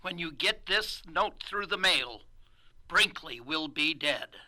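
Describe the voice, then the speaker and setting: An actor playing an urgent newspaper reporter calling in by phone in a radio drama. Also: male voice, on the high side, animated, takes it slowly.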